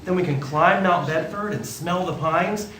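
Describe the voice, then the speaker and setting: An actor playing an eager, restless young small-town dreamer, earnest and exclamatory, in a stage radio play. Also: male voice, fairly high pitch, moderate pace, fairly bright tone, lightly acted. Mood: excited, dreamy. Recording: echoey theatre stage, faint noise.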